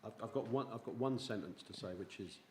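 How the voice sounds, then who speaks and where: A man on a panel, making brief, quiet remarks. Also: fairly low pitch, quick pace.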